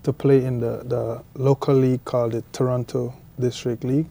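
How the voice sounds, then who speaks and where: A young man from Guyana being interviewed about his cricket, answering haltingly and often repeating his words. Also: mid-range voice, level tone, creaky, warm tone. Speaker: cricketer guest.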